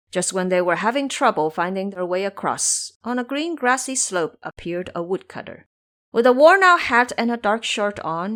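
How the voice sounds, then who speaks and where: A woman narrating a scripted audio drama in a theatrical, deliberate storytelling manner. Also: medium pitch, a bit bright.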